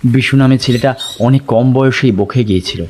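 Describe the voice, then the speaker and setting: A man's voice: mid-range voice, moderate pace, a little mellow, natural voice. Man acting talkative anxious guide, mid-range voice, conversational explaining, audio drama dialogue, slight background noise. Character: anxious, talkative local gentleman guiding the detectives.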